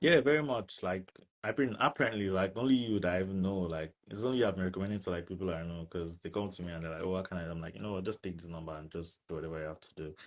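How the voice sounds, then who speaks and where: Deep-voiced young Nigerian man chatting. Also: very fast. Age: young adult. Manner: emphatic repetition, frequent tags like "right" and "you know". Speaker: interview guest, international student. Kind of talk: casual chat.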